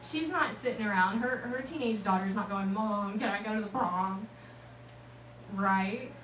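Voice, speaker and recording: A woman's voice: medium pitch, measured pace. Joking woman from Texas in echoey hall. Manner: lively, joking, acts out voices. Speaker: youth speaker. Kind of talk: casual talk to a youth group. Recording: echoey hall, picked up from far off, noisy.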